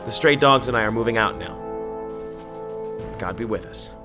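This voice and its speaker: A man acting as an earnest war correspondent in a video game, speaking in a solemn, deliberate, weary yet hopeful way. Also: on the low side, close to an everyday voice. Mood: somber. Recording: loud music under the voice.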